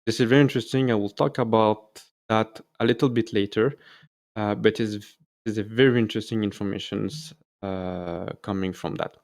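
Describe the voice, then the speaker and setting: A man's voice: lowish voice, fairly even intonation, moderate pace, a little mellow. A man with a French accent, a native French speaker, hosting a casual solo video and talking hesitantly with many fillers and self-corrections. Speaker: YouTube host.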